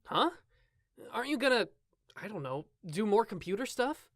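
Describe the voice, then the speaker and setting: A woman's voice: mid-range voice, sing-song, normal speed, no room sound, clean studio sound. A woman voicing a casual, skeptical college-age young man in a visual novel fan dub, often sounding incredulous. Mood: baffled, uneasy. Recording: music behind the voice.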